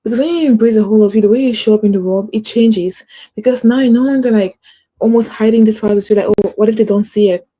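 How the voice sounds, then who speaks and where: A woman's voice: mid-range voice, quick pace, dark tone, poor audio. A woman hosting a casual motivational talk, earnest and encouraging, with a stutter and many fillers like "like". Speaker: host.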